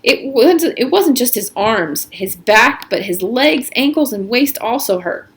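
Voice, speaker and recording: A woman reading a children's story aloud in an expressive, animated narrating style. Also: a little high, measured pace. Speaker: reader of a children's novel aloud. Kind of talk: read-aloud story narration with character voices.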